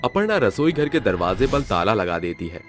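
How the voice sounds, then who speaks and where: A man narrating a cartoon story in a steady storytelling manner. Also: lowish voice, normal speed, soft background music.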